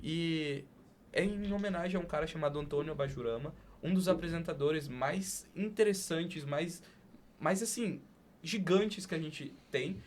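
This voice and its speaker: A man with a somewhat high-pitched voice hosts a podcast. His delivery is deliberate and conversational, leaning poetic, with emphatic pauses between phrases. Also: a little hiss or hum. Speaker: podcast host. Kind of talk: conversational hosting and spoken-word poem recital.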